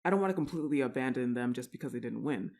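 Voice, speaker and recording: A woman's voice: a bass voice, animated, very fast, dark tone. A woman talks informally to her audience as the creator of audio roleplays. Her delivery is casual and rambling. She comes across as self-deprecating and unscripted. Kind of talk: casual chat to listeners.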